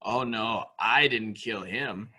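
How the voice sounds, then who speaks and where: An actor plays a childlike, dangerous grown man in an amateur stage-style mystery. His voice is extremely bright and forceful, with a boyish, taunting eagerness. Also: fairly low pitch, slow, full character voice. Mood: childish excitement. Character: childlike, unstable grown man thrilled by guns and killing. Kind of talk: amateur radio-play acting.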